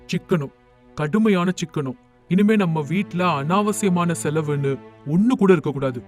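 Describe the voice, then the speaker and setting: Casting: man to play earnest, thrifty village tailor for animated story; high-set, declamatory voice over light music. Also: normal speed, lightly acted. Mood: resolute. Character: earnest village tailor, devoted son turned strict miser. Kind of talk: animated moral story dialogue. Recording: music under the voice.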